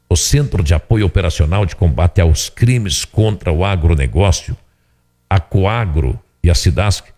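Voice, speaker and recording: Man, low pitch, mellow, steady news read. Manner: steady measured newsreading with occasional slips. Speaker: news presenter. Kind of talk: regional radio news reading.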